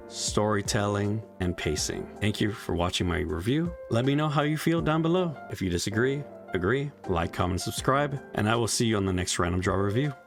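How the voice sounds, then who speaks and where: A young man giving a casual spoken game review, frustrated and profane, with many "like" fillers. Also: fairly low pitch.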